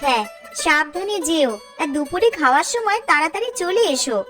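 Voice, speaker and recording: A woman's voice: high voice, animated, fairly quick, fairly bright tone, soft background music. A woman voicing a caring, attentive young village wife in a cartoon, coaxing and fussing as she talks. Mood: fond, chiding. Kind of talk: cartoon voice acting.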